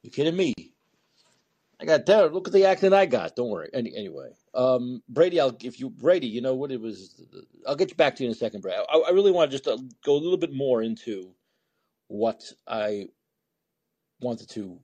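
A man's voice: medium pitch, very animated, brisk, warm tone, breathy edge. A man hosting a call-in talk show, blunt and sarcastic, rambling freely through his opinions in live monologue.

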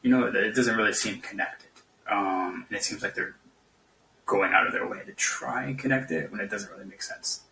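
In an echoey room, somewhat far from the mic, a man argues politics casually. His voice is lowish but bright and forceful, and he talks in a halting, skeptical way. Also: gravelly, a little hiss or hum. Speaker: podcast guest caller.

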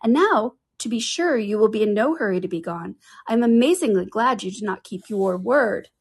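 A woman's voice: mid-range voice, expressive, moderate pace, breathy edge. A woman reading aloud, voicing a gushing, sly young woman in a period novel. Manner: effusive, insistent.

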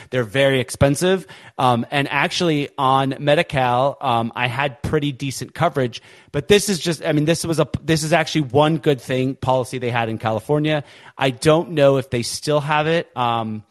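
A man hosting a political podcast, talking in an impassioned, emphatic way. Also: mid-range voice. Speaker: podcast host.

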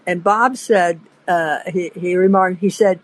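A woman's voice: fairly low pitch, unhurried, dry recording. Older woman telling rambling anecdotes. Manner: chatty, digressive, laughs mid-story. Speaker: podcast host.

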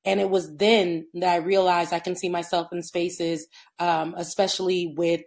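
A young woman with a fairly low voice, her first language Haitian Creole, co-hosts a casual podcast. She tells her own story candidly, mixing in slangy asides. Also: little pitch movement, moderate pace, gravelly, darkish tone. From United States, Haitian immigrant family. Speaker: podcast co-host.

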